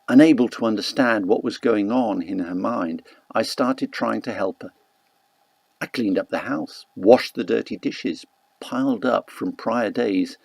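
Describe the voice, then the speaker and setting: A man narrating a first-person literary novel as an audiobook, reading in a measured, deliberate way. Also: medium pitch, creaky, a little mellow, husky, no echo.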